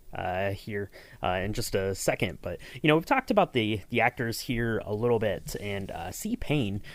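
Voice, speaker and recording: Guy with a lowish voice hosting a laid-back podcast, rambles through questions, very dry sound. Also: dry recording. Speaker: podcast host and sound designer. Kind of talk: casual behind-the-scenes interview chat.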